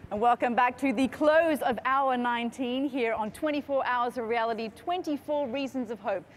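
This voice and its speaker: A woman hosting a live broadcast event, reading scripted links in a measured, earnest announcer style. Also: a little high. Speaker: livestream event host.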